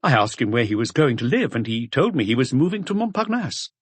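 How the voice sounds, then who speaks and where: A man voicing a polite, helpful male witness with a measured, forthcoming manner in an audiobook. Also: mid-range voice, sing-song, breathy edge, dry recording. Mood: polite, earnest.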